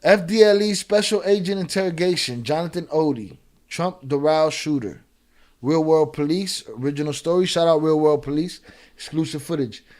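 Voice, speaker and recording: A man commenting live on a video, sarcastic and animated, with many restarts. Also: fairly high pitch, normal speed. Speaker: reaction streamer.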